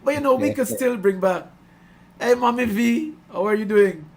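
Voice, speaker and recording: A man from Guyana with a Guyanese accent, chatting casually on a livestream about his album, halting and repeating himself with many fillers. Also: extremely high pitch, gravelly, a loud crowd. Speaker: musician celebrating album release. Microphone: video call.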